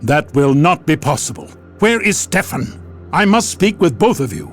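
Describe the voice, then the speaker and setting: An actor playing a stern, weary marshal of a fantasy empire, grim and commanding in a game cutscene. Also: male voice, a little high, big pitch swings, moderate pace, soft background music.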